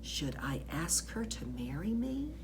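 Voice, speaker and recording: A woman voicing a friendly, earnest, excitable middle-aged male janitor in a children's storybook, with chatty, emphatic delivery. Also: sits low, unhurried, background noise, full character voice. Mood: earnest, hopeful.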